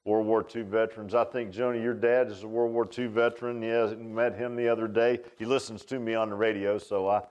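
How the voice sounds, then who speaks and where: An American man in his sixties preaching an informal sermon in a halting, folksy, conversational way, often repeating words. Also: fairly low pitch, flat delivery, a little mellow, muffled recording. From United States. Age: 60s. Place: speaking in a church.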